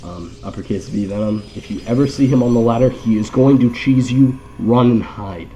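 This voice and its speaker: A man's voice: medium pitch, moderate pace, warm tone. A man with a nasal, congested voice from allergies gives casual live game commentary with wry, rambling, joking asides. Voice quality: nasal, stuffed-up.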